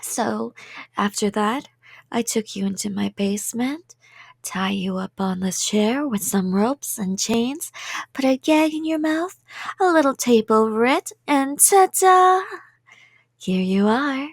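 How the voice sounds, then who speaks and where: A woman's voice: on the high side, expressive, takes it slowly, creaky, dry recording, full character voice. A woman playing a cheerful, delusional, obsessive kidnapper in a rambling ASMR roleplay, sweet and playful and drifting into whispers. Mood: playful, gleeful.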